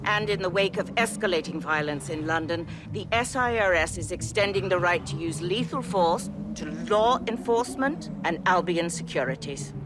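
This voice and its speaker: An actress playing a composed news announcer reading a formal bulletin in a video game. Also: female voice, lowish voice, expressive, normal speed, hardly any pauses, background noise, lightly acted. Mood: neutral, official.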